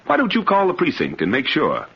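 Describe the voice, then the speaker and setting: A man's voice: on the high side, wildly swooping pitch, brisk, vocal fry, slightly rough, heavily acted. An actor playing a confident, educated policeman with an authoritative manner in a 1950s radio drama. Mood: calm, reassuring. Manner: reassuring, authoritative. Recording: muffled old radio recording with music.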